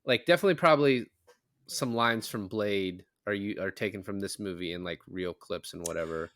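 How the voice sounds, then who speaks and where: A man's voice: on the low side, a little fast, a bit bright. A man talking casually about movies in a rambling, conversational way, with offhand swearing. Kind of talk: casual movie discussion podcast chat.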